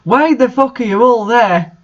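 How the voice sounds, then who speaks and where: Man playing a high, melodramatic goth teen girl. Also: animated, normal speed. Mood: angry, startled. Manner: shouty, dramatic. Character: melodramatic, foul-mouthed teenage gothic vampire girl. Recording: dull lo-fi recording.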